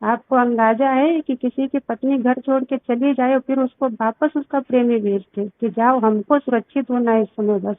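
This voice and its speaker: Woman caller, high voice, insistent and reproachful in a private argument, tinny phone line. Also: fairly even intonation, moderate pace, few pauses, dry recording. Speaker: caller on a recorded phone call. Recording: tinny lo-fi phone call recording.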